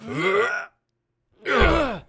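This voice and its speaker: A junkie wasteland raider, high-pitched and badly raspy. The words come out slow and halting, strained and irritable, in audio drama style. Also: female voice, big pitch swings, fairly bright tone, heavily acted. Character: dim, foul-mouthed junkie raider underling. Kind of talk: post-apocalyptic audio drama acting.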